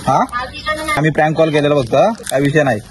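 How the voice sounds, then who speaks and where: A man's voice: fairly high pitch, steady tone, quick pace. Young Maharashtrian man vlogging over background noise. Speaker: vlogger making prank calls. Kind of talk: casual vlog chat.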